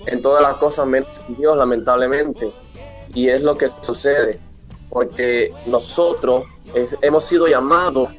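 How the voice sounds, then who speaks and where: A man's voice: medium pitch, steady tone, a little slow. A Venezuelan man of twenty-one speaking earnestly, with preacher-like declarations, as an interview guest. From Mérida, Venezuela. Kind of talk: radio interview, devotional testimony. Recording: dull lo-fi remote link, music behind. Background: music.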